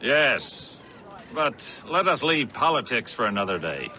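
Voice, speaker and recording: A man playing a suave, menacing, haughty gentleman villain in a video game, with pompous, theatrical delivery. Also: mid-range voice, sing-song, a little slow, a little hiss or hum, heavily acted. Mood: courteous.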